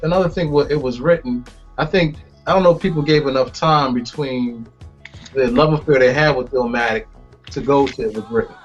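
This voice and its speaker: A man on the panel giving his views in a relaxed, reflective way. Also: mid-range voice, a little fast, husky. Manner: reflective, acts out sounds in stories. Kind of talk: casual conversation. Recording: lo-fi, faint music behind.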